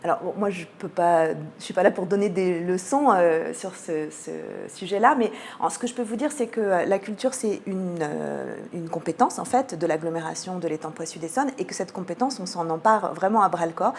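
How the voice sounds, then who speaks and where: A woman with a French accent from France speaks as an interview guest at a middle pitch. She explains things articulately, often pausing to hesitate. There is faint noise and ambience behind her. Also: a little mellow. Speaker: guest, head of cultural programming at a theatre. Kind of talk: radio interview, explaining a season programme.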